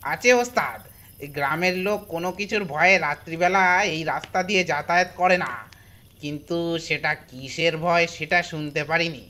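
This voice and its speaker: A man's voice: a little high, moderate pace, pushed and bright. A man voicing an eager bandit henchman in a cartoon, deferential to his boss. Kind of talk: cartoon voice acting.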